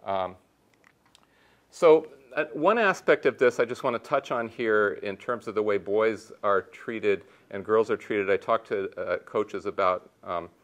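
A man's voice: fairly low pitch, a little slow. A man in his sixties giving a conference talk from a lecture room on a lapel mic, telling stories with many restarts and fillers. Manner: animated storytelling with many restarts and fillers, gestures while talking. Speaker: sociology researcher on a conference panel. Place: lecture room in front of a projection screen.

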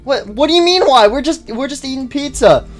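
A man's voice: very high-pitched, expressive, brisk, soft background music. A man voicing a chirpy, friendly, oblivious female toy chicken animatronic in a comedy cartoon, chatting in a flustered, rambling way. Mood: flustered, defensive. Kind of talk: amateur comedy cartoon dialogue.

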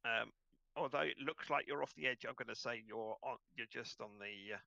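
A man's voice: medium pitch, a little fast, gravelly, bright, forceful tone, no room sound, muffled recording. A man casually running an online tabletop game as its game master.

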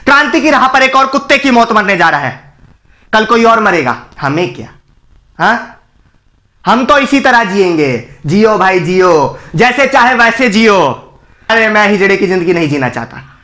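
A man playing a defiant condemned man who bitterly berates an apathetic crowd in a theatrical, scornful film-style monologue with emphatic pauses. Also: sits high, very animated, pushed and bright, full character voice. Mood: frustrated, bitter mockery. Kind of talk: dramatic Hindi film monologue recital.

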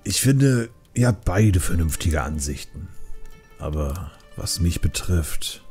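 A man playing a calm, patient older panda brewmaster uncle in a dramatised fantasy audiobook, speaking gently. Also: low-pitched, takes it slowly, faint music behind, full character voice. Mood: calm, content.

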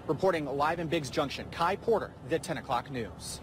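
A man's voice: fairly high pitch, moderate pace, noisy background. A man as a field reporter, delivering a live, scripted news report from an outdoor location.

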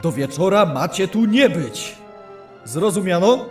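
A man's voice: high-pitched, expressive, a little mellow, soft background music. A man playing a stern, wrathful elven lord of a paladin order in a fantasy audio drama, scolding imperiously. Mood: angry, stern. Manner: imperious, scolding, deliberate delivery.

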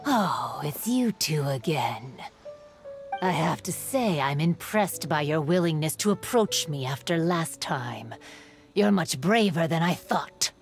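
A woman playing a mysterious, menacing female spirit in a video game, measured and cool. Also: sits low, lively intonation, music in the background, heavily acted. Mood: coolly threatening.

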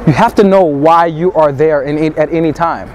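A young man who coaches dating, talking in a low, measured way, sometimes slowing right down. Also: a little mellow. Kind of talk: street pickup talk and to-camera advice. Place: busy city square outdoors. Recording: street noise and traffic behind.

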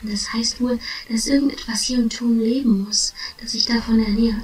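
A woman playing a wary fantasy adventurer with a hushed, breathy, whispery voice in an audio drama. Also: on the high side, steady tone, moderate pace, a little hiss or hum, natural voice. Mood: ominous. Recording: echoey, distant from the microphone.

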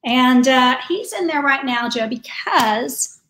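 A woman's voice: high voice, expressive, takes it slowly, a bit bright. A woman in her forties co-hosting a casual video chat from home, chatty and laughing things off. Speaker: co-host. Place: home room with tiled wall. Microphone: video call webcam. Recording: echoey home room.

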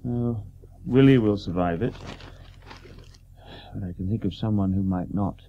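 An actor in a radio play playing a courteous, urbane aristocrat with a formal, genteel manner. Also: male voice, fairly low pitch, a little slow. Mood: grave. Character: courteous aristocratic English lord, urbane family friend. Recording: dull, hissy old radio recording.

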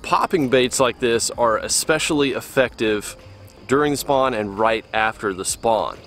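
A young man hosting a fishing video, explaining a lure in relaxed, conversational talk to camera outdoors by a lake. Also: mid-range voice, a little slow, soft background music. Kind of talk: casual instructional talk to camera.

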